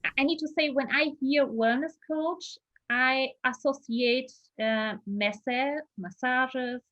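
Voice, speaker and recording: A woman's voice: high-pitched, slow pace, fairly bright tone, no room sound, lo-fi sound. A woman with a German accent, a non-native speaker interviewing casually and searching for her words.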